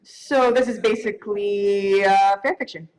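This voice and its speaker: A woman's voice: medium pitch, steady tone, measured pace, darkish tone, rough recording. A woman co-presenting awards live on stage in a playful, chatty, conversational way.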